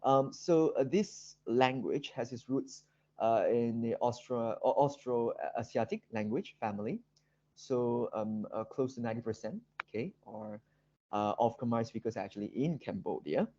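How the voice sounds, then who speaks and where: A man with a Malaysian accent giving an academic seminar presentation, speaking haltingly with very frequent ums and repeated words. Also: mid-range voice, warm tone. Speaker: guest lecturer. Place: online video call seminar. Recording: dull, roomy video-call audio.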